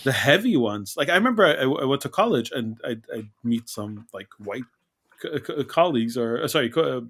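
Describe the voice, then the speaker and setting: A man co-hosting a podcast, telling stories in a relaxed, chatty way. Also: mid-range voice, brisk. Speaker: podcast co-host. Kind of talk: casual chat.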